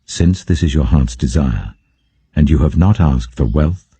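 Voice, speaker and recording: A majestic, benevolent male deity voiced by a British man narrating scripture. The voice is very deep, mellow and husky. He speaks with grave, unhurried authority. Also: animated, dry recording, full character voice.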